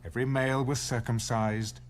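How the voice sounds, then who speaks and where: A man's voice: mid-range voice, fairly even intonation, moderate pace. A man narrating scripture as a dramatic, solemn storyteller in an audio Bible.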